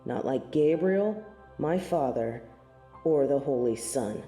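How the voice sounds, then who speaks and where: A woman's voice: extremely low pitch, animated, takes it slowly, warm tone, reverberant, faint music behind, full character voice. A woman playing a snobby, scornful angel in a scripted audio roleplay, speaking in formal, archaic phrases with lofty disdain. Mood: cold superiority. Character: snobby, haughty female angel of judgement, proud, scornful tsundere.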